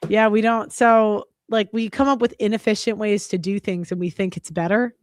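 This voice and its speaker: A woman's voice: mid-range voice, fairly even intonation, normal speed, a little hiss or hum. A woman hosting a call-in show, talking casually with many likes and ums. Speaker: podcast host.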